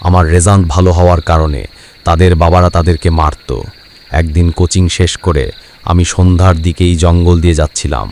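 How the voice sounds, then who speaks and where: A man's voice: sits low, level tone, a little fast, a little mellow, a little hiss or hum, close to an everyday voice. A man voicing a bitter, murderous demon ghost in a horror cartoon, grim in manner.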